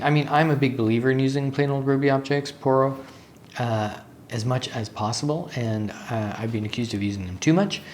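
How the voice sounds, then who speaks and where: A man with a slightly raspy voice giving a casual, unscripted recap for a vlog, pausing to search for words and drifting into asides. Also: mid-range voice. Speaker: vlogger, software developer. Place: hotel room. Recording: faint steady room noise.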